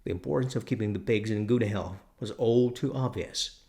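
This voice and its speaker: A man narrating a radio-drama audiobook in a measured, steady storytelling manner. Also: lowish voice, creaky. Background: music.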